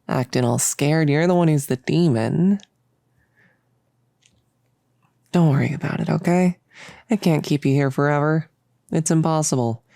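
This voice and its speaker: A very low, creaky-voiced young cultist played by a woman in an intimate audio roleplay. She is bossy and possessive, with a giddy, playful smugness, and rambles casually as if thinking aloud. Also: darkish tone, dry recording, studio quality. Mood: teasing, reassuring. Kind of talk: intimate roleplay audio, improvised-sounding monologue.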